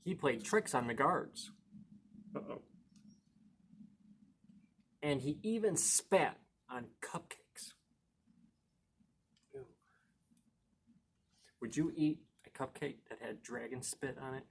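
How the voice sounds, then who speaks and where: A man reading a children's picture book aloud as a storytime host, playful and expressive, with emphatic words and chatty asides to young listeners. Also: mid-range voice, slow pace, pauses often, a little hiss or hum. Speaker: library storytime reader and host.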